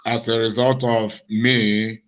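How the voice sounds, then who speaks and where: Hoarse man, slow and flat. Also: fairly low pitch. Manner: slow, deliberate, accusatory. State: hoarse, cracked voice from a cough. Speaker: evangelist and host. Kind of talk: monologue address to viewers. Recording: dull lo-fi recording.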